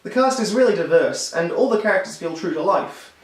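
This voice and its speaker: A hoarse man who is losing his voice, giving a wry, enthusiastic scripted film review. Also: sits high, animated, a little fast. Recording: roomy echo, distant mic.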